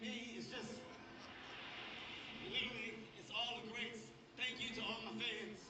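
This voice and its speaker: A man's voice: very high voice, heavy background noise. A man in a played clip, making a mock-grand, boastful speech. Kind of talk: joking clip monologue. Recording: echoey, distant clip with loud music.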